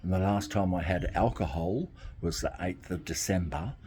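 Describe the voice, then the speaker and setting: A man's voice: sits low, normal speed, warm tone, a little hiss or hum. A man, talking casually to camera from outdoors by a garden, rambling and repeating himself. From Australia. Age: 60s. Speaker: vlogger.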